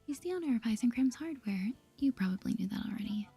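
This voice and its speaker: A woman whispering soft-spoken ASMR commentary over a video game, chatty and gentle, reading dialogue aloud in a breathy voice. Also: fairly high pitch, animated, quick pace. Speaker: gaming video host. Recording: soft music behind the voice.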